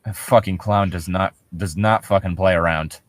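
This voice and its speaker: A man's voice: low-pitched, fairly even intonation, normal speed, no room sound. A man co-hosting a comedy podcast, casually riffing on horror stories in a profane, sarcastic, ranting way. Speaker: podcast co-host.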